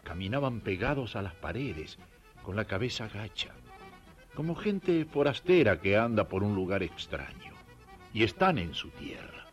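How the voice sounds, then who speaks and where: Low, animated man telling his testimony. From Belgium. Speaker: rural worker giving testimony. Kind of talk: narrated personal testimony.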